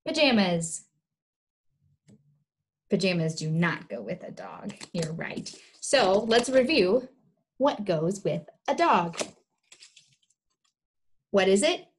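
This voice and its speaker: A woman's voice: mid-range voice, sing-song, slow, long pauses, slight background noise. A young woman teaching small children in simple, repeated, encouraging phrases, recorded indoors on a device mic a little way off. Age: young adult. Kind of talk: instructional lesson for young children. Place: small room indoors, sitting on the floor.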